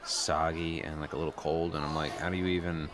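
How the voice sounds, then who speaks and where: Casting: awkward teen transfer student, bottom-of-the-range voice, understated improv comedy delivery, unsure and stop-start. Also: male voice, a bass voice, flat delivery. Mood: puzzled. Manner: hesitant, halting, understated. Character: self-doubting teenage boy, new transfer student at school. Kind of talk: improvised comedy dialogue, naturalistic casual chat. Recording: noisy room ambience.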